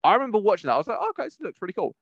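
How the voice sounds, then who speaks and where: Higher-pitched man, rambling podcast chat. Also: very animated, racing, dry recording, muffled recording. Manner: rambling, emphatic, repeats phrases. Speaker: podcast host. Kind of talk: casual opinionated chat about films.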